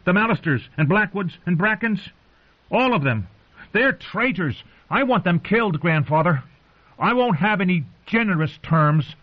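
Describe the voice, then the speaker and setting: A man's voice: sits high, expressive, normal speed, dull audio, voice pushed up. A man voicing a spoiled, cruel boy king in a fantasy audiobook, with a whining, demanding manner. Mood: spiteful demanding.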